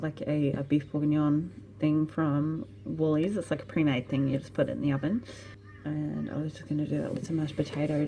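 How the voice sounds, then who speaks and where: A woman's voice: sits low, background noise. An Australian woman in her thirties, rambling casually as she thinks aloud in a home vlog from her living room. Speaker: vlogger and small online shop owner.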